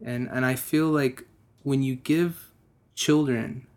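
A man's voice: medium pitch, steady tone, takes it slowly, a little mellow. A young man from the United States, a guest musician, speaking in a casual interview with a thoughtful manner and frequent restarts.

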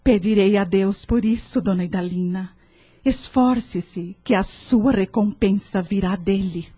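An actress playing a kindly, devout counselor woman in a radio drama, speaking Brazilian Portuguese with a measured, gently instructive manner. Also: mid-range voice, breathy edge. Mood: gentle, encouraging.

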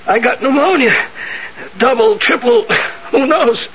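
A man's voice: very high voice, unhurried, breathy edge, full character voice. An actor playing a chatty, whiny, ailing old American man in a hospital, in a 1970s radio drama. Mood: chatty, needling. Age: older. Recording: muffled, slightly noisy 1970s radio recording.

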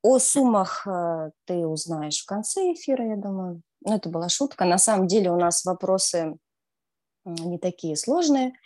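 A woman's voice: on the low side, expressive, moderate pace, warm tone. A woman co-hosting a radio show who runs a quiz game in a teasing, upbeat way. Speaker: radio co-host, quiz presenter.

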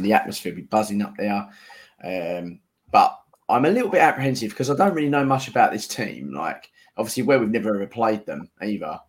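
A man co-hosting a football podcast, talking informally as a fan in casual conversation. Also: fairly low pitch. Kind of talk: casual chat among fans.

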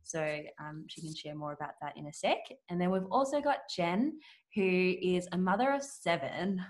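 A woman's voice: fairly low pitch, expressive, normal speed. A woman hosting a podcast interview, chatting casually with many fillers and little laughs. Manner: warm-hearted, chatty, with frequent fillers and laughs. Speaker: podcast host.

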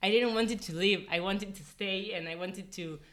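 Bright-voiced young woman, earnest and informal. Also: mid-range voice, moderate pace. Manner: earnest, informal, frequent "like" and "you know". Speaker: podcast guest, former volunteer. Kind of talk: casual personal storytelling in an interview.

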